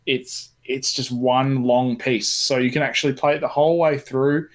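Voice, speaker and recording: A man's voice: medium pitch, fairly even intonation, moderate pace. A man co-hosting a casual gaming discussion, speaking informally and with enthusiasm. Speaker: podcast co-host.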